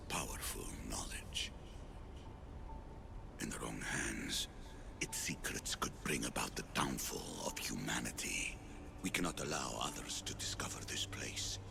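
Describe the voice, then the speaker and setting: Very deep, gravelly old sage. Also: male voice, background noise, exaggerated voice. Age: older. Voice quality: gravelly, breathy. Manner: solemn, measured, detached. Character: ancient, stern, secretive father, guardian of Atlantis. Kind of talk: video game cutscene acting. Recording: music behind the voice.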